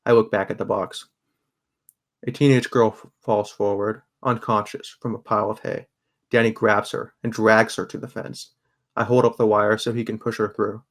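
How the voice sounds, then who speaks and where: A young man playing a guarded, sardonic teenage boy who narrates a grim audio drama in a subdued, even voice. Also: fairly low pitch, normal speed. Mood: tense, subdued. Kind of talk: first-person scripted narration, audio drama.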